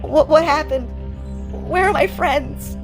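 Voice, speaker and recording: A man's voice: extremely high pitch, slow, music in the background, pitched above the performer's own voice. A man voicing a frightened little girl, dazed and tearful, in an audio drama.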